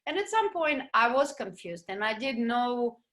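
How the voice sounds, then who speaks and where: A middle-aged woman, speaking in a slightly high voice. She tells her story as an interview guest in an animated way. She acts out what others said to her. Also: normal speed. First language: Russian. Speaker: guest, ballroom dancer. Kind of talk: casual interview chat.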